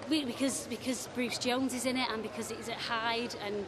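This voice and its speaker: A woman's voice: on the high side, fairly even intonation, normal speed. A woman performer giving a casual interview in a gushing, bubbly, chatty manner. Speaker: pantomime performer being interviewed. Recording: loud crowd noise behind.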